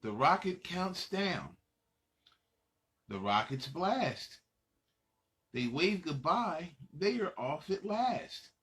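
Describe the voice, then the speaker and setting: Animated man reading a children's story, unhurried. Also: fairly high pitch. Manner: playful, dramatic, pauses to ask young listeners questions. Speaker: children's storybook reader and host.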